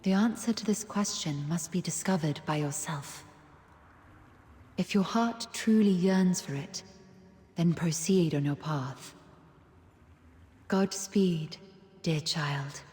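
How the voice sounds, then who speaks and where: An actress voicing a serene, wise woman guide in a video game, speaking in a gentle, measured, philosophical manner. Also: fairly low pitch, slight background noise. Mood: solemn, mystical. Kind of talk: solemn video game dramatic monologue. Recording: echoey long reverb, background music.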